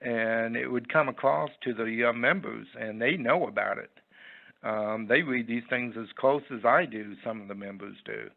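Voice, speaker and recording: Gravelly man on a tinny phone line. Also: mid-range voice. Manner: halting, rambling, folksy. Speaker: interview guest, website owner. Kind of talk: unscripted interview answers.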